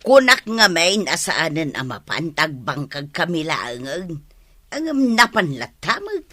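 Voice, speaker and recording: A woman's voice: low-pitched, very animated, a little slow, pushed and bright, no echo, exaggerated voice. An actress playing a gossipy village woman in an Ilocano radio drama. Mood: gossiping. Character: gossipy village woman sharing news with friend.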